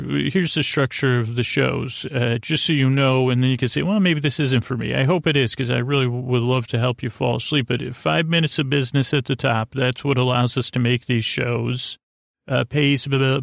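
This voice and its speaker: A man with a creaky voice hosting a podcast in a soothing, long-winded bedtime monologue that meanders and interrupts itself. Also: mid-range voice, dry recording, clean studio sound. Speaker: podcast host.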